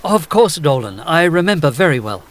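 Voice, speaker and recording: An actor playing an earnest, formal gentleman barrister, narrating and speaking in a gothic audio drama. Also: on the high side, very animated, normal speed. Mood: concerned. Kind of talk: first-person narration and audio drama dialogue.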